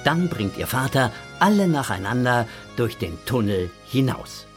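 A man narrates a children's audio story from a script. His voice is medium-pitched, with clear music playing behind it. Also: big pitch swings, unhurried.

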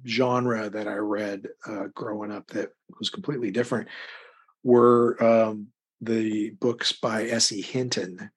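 Husky middle-aged American man. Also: fairly low pitch, little pitch movement, unhurried. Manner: rambling, thoughtful, hesitant. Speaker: interview guest, crime fiction author. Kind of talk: casual interview conversation.

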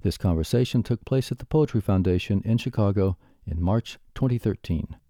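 Very mellow, low-voiced male program announcer. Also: fairly quick, no echo, studio quality. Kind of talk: scripted narration introducing a program.

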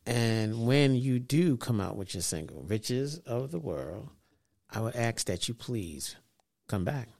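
A man's voice: medium pitch, animated, slow, gravelly, a little mellow. A man hosting a casual interview, mostly giving brief agreeing replies and short questions. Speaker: interviewer host.

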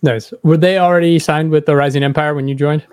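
A man's voice: fairly high pitch, fairly even intonation, quick pace, a little mellow, clear, resonant tone, no echo, clean studio sound. A man hosting a podcast, asking casual interview questions in a conversational way.

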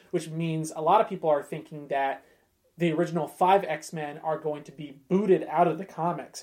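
A man's voice: on the high side, darkish tone. Enthusiastic man in casual podcast chat. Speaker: podcast guest.

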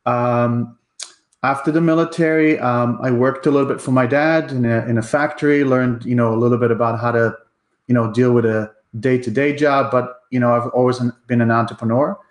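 A middle-aged man with an Israeli accent, speaking at an ordinary pitch for a man. He tells his story as a guest in a relaxed, halting way, filling pauses with ums and uhs. His voice has a roomy echo around it. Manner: relaxed, many ums and uhs, pace varies. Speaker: guest, company president. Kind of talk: casual interview.

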